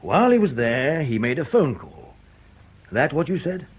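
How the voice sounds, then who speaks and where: An actor playing a blunt, sceptical intelligence interrogator in a radio drama, with a husky voice and a dry, insistent manner. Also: male voice, medium pitch, sing-song, normal speed. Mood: dry, businesslike.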